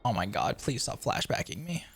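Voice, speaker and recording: A man's voice: mid-range voice, very animated, moderate pace, creaky, a bit bright, slightly rough, dry recording. A man streaming casual game reactions, in slangy, exasperated asides.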